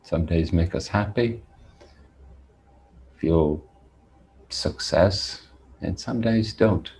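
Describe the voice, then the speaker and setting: Very slow, deep-voiced man guiding meditation. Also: gravelly, a little mellow, slight background noise. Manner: deliberate, measured, with long pauses. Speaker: meditation teacher. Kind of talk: guided meditation talk.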